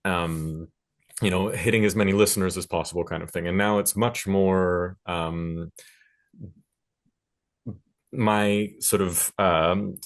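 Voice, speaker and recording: A man speaking as a panelist in a discussion, thinking aloud in a reflective, halting way. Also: sits low, steady tone. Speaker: panelist, record producer and recording engineer.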